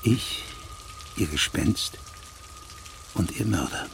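An actor playing a stern, methodical investigating judge in a radio drama, measured, probing and authoritative. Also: male voice, deep voice, lively intonation, creaky, noisy background, heavily acted. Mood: accusing, stern.

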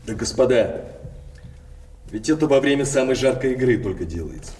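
An actor playing a cool-headed, proud card sharp in a theatrical radio play, speaking deliberately and with self-assurance. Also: male voice, medium pitch, animated, far from the mic, natural voice. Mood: knowing, animated. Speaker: stage actor.

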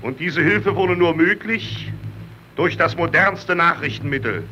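An actor playing an earnest, solemn narrator who proclaims a heroic tale in emphatic old German radio-play style. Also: male voice, a little high, a little slow, over music, lightly acted. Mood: solemn, fervent. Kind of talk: 1920s-30s German radio play, declamatory. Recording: muffled, noisy old radio recording.

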